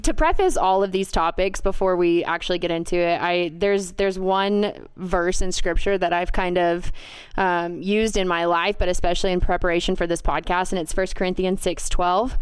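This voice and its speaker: Young woman co-host, lower-pitched and very clean tone, dry close sound, earnest chatty delivery. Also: moderate pace, clear, resonant tone, no room sound. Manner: laughs easily, earnest when explaining. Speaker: podcast co-host. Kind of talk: casual podcast chat.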